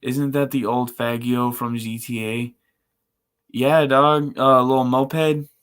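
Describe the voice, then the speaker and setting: A man with a monotone, chill voice, rambling casually and slangily as a live streamer. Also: medium pitch, takes it slowly, clean tone. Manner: laid-back, chill. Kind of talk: casual livestream chat.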